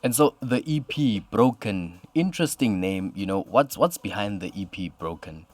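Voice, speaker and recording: A man hosts a radio interview, his voice sitting a little low. He is friendly and full of praise and fills his sentences with "you know". Soft music plays faintly beneath him. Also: lively intonation, moderate pace. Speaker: radio show host.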